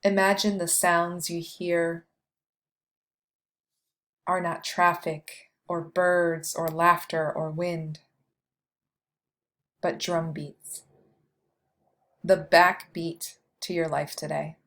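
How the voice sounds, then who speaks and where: A woman guiding a meditation with scripted, poetic narration, delivered in a measured way with long pauses. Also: fairly low pitch, flat delivery, fairly bright tone. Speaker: meditation guide.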